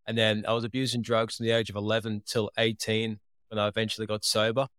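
Low, smooth, even-toned man from England. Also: fast talker, no room sound, studio quality. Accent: Liverpool, living in Australia. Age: 20s. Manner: earnest, steady storytelling. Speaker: podcast guest. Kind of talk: casual interview talk.

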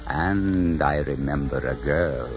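An actor playing a proud, embittered old Spanish conquistador in theatrical 1940s radio style. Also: male voice, very deep voice, takes it slowly. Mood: weary, wistful. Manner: impassioned, theatrical. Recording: muffled 1940s radio recording, music behind.

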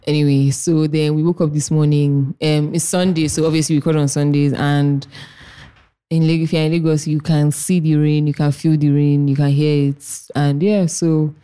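A woman, her voice very low and mellow, co-hosts a casual podcast. She rambles loosely through her stories in easy banter. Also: little pitch movement, moderate pace. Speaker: podcast co-host. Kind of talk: casual chat.

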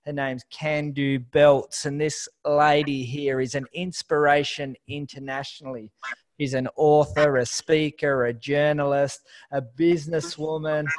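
A man's voice: a little high, flat delivery, unhurried. A man hosting a podcast, introducing his guest with enthusiasm. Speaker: podcast host. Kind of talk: podcast interview.

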